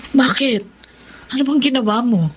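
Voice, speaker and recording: A woman's voice: high-pitched, normal speed, a little hiss or hum, lightly acted, rough recording. A woman acting a caring, probing mother of grown children in a Filipino radio drama. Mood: questioning.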